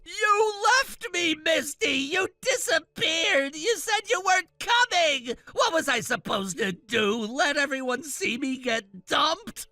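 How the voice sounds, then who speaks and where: A performer voicing a scheming young groom who shouts and argues defensively. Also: medium pitch, big pitch swings, a little slow, gravelly, hardly any pauses, dry recording, faint music behind, full character voice. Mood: angry.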